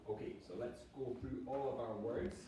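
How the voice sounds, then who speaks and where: A man's voice: medium pitch, steady tone, normal speed. A man teaching a primary school class, explaining words simply and patiently and reading short examples aloud, with encouragement for the pupils. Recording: echoey classroom, distant mic, faint noise.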